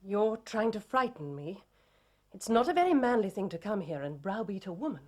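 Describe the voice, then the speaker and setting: Haughty period noblewoman, imperious, formal, mid pitch, sweeping intonation. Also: very animated, moderate pace, a little mellow. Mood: cold, defiant. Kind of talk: period detective drama acting.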